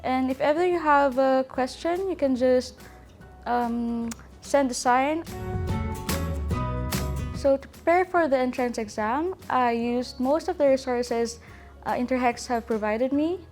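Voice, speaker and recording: A high-pitched woman from the UK, a medical student, explaining the exam step by step with hesitant pauses. Faint music plays underneath. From United Kingdom. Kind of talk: interview answers.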